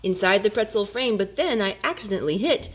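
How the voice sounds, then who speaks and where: A woman reading a rhyming picture book aloud to young children, with expressive, gently dramatic storytelling. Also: medium pitch, fairly quick, breathy edge.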